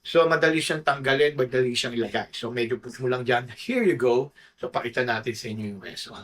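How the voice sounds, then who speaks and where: A man's voice: medium pitch, a little fast, a bit bright, a little hiss or hum. A Filipino man giving a casual product review to camera, informal and often checking in with short tag questions. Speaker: motorcycle gear reviewer.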